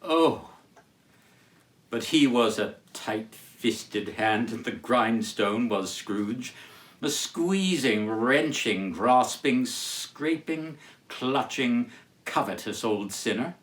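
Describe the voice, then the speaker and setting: A man giving a formal, declamatory literary reading as a storyteller, with deliberate phrasing and dramatic pauses. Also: medium pitch, lively intonation, a bit bright. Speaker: storyteller reading as Charles Dickens. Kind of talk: theatrical literary reading with introduction.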